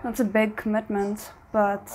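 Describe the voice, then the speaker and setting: A woman giving casual, unscripted reaction commentary as she watches a drama series, thinking aloud in an informal, halting way. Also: mid-range voice, completely flat delivery, darkish tone, slight background noise. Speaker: reaction video host.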